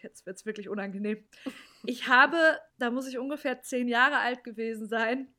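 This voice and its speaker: Woman in her thirties, co-host, self-mocking storyteller with a slightly high voice. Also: moderate pace, sharp tone. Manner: candid storytelling, laughs at herself. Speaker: podcast co-host. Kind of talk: casual chat.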